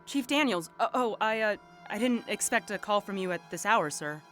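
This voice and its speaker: Actress doing a tired lady detective, mid pitch, bit flustered, polite to the boss, audio drama with music under. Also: animated, normal speed, fairly bright tone, natural voice. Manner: hesitant, flustered. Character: weary female detective, flustered, deferential to her boss.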